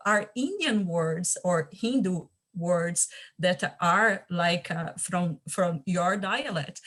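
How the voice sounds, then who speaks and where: A woman's voice: mid-range voice, fairly bright tone. A woman from Brazil with a Brazilian Portuguese accent, speaking earnestly on an online panel and often restarting to search for words.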